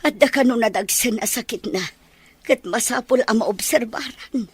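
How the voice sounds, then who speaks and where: A woman playing a concerned, practical older relative in an Ilocano audio drama, with a husky voice. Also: a little high, normal speed, no room sound. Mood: worried, resigned.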